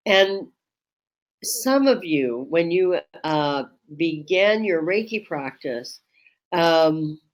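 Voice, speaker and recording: A woman Reiki teacher hosting a webinar, with a strong voice that carries across a room. She talks in a slow, measured way and pauses often with ums. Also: fairly low pitch, lively intonation, low-quality recording. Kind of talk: reflective webinar talk. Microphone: webinar microphone.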